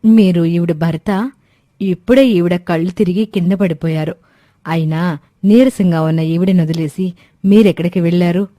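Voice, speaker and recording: A caring, sincere rich wife from a village moral story, voiced by a woman. Her voice is low and very mellow, recorded dry. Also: moderate pace, dry recording, natural voice. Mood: concerned. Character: kind, caring wealthy landlord's wife.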